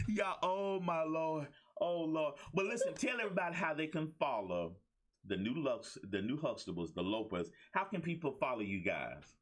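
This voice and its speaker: A man's voice: fairly high pitch, sing-song, normal speed. A man hosting a talk show, teasing his guests playfully and laughing often. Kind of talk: casual interview chat.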